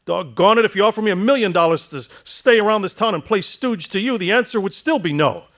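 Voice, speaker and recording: A man's voice: high voice, lively intonation, quick pace, a bit bright. An actor playing an earnest young married man in a radio play, stammering when flustered. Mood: indignant.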